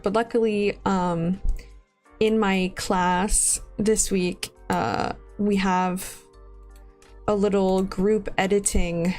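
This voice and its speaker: Woman in her 20s, streamer, stuffy and sniffly from a cold; slow, rambling, giggly delivery. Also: mid-range voice, a little mellow. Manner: rambling, laughs often. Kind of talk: casual live-stream chat.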